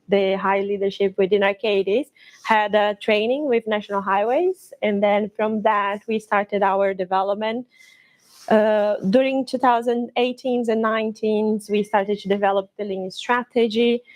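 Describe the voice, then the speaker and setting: Brazilian woman doing a conference talk, bit halting, restarts now and then, middle pitch. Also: a little mellow, poor audio. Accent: Brazilian Portuguese. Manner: slightly halting, with restarts and fillers. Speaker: conference presenter. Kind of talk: conference presentation.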